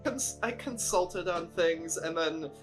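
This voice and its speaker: A woman's voice: lowish voice, takes it slowly. A woman chatting casually in a behind-the-scenes Q&A, wry, understated and self-deprecating. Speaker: story editor and cast member. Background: instrumental music, clearly heard.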